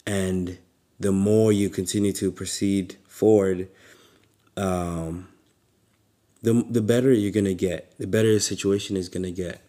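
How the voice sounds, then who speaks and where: A man from Uganda giving a casual motivational talk in a relaxed, halting way, with fillers and frequent laughs. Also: low voice. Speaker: motivational speaker.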